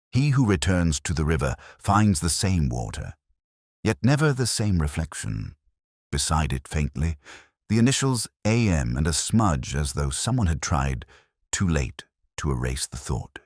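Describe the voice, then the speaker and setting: Very deep-voiced man narrating a detective story. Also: darkish tone, no echo, clean studio sound. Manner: measured, solemn, reflective. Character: melancholy, erudite male English police inspector narrating his case. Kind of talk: scripted literary detective narration.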